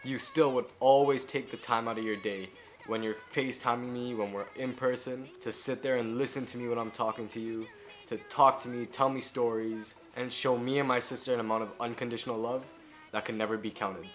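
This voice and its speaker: A man's voice: mid-range voice, steady tone, a little fast, faint music behind. A man with a Canadian accent speaking in a personal farewell tribute. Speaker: grandchild giving a tribute.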